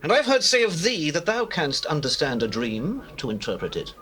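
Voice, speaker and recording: High, wildly swooping troubled king. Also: wildly swooping pitch, brisk, bright, forceful tone, soft background music, full character voice. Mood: troubled, intense. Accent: British. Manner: declamatory, theatrical. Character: troubled, commanding king of Egypt recounting his dreams. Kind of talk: dramatized scripture acting in archaic English. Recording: noisy old cassette tape.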